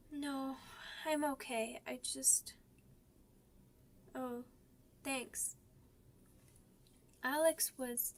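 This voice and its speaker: A woman voicing an excitable, stubborn young werewolf pup in an ASMR roleplay, with childish, halting, repetitive speech. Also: fairly high pitch. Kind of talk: ASMR character roleplay audio drama.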